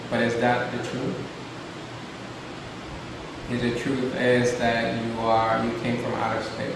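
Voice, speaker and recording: A man giving a spiritual lecture as a teacher, speaking deliberately, with rhetorical questions and repeated phrases for emphasis. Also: mid-range voice. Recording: very echoey reverberant room, distant, loud hiss.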